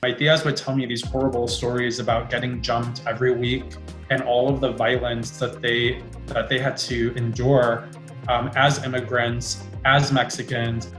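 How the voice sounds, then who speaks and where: A man speaks earnestly in a discussion with a steady, flat pitch. The room adds noticeable echo. Faint guitar music sits behind him. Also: moderate pace. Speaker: panel participant. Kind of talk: conversational discussion. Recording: roomy echo.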